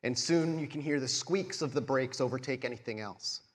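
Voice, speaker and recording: A male game master narrates at a live tabletop show. His voice sits in a middle range and he sets each scene with measured, descriptive detail. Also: medium pitch. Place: live convention show on stage.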